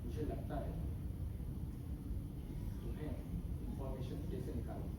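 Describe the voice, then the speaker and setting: A man's voice: medium pitch, fairly even intonation, measured pace, close to an everyday voice. A man playing a bitter, defiant army captain in a theatrical stage play, with an impassioned, sarcastic, rhetorical delivery. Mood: low-key, pointed. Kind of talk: theatrical Hindi stage acting. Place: stage of a hall at a student festival. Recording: echoey hall, far mic, noisy.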